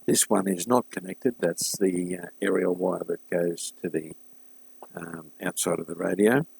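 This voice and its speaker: A man giving a casual, unscripted hands-on repair walkthrough, thinking aloud haltingly and addressing viewers informally as a hobbyist host. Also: low-pitched, fairly even intonation, creaky, darkish tone, slightly rough, no echo, rough recording. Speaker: hobbyist host of a vintage radio restoration series.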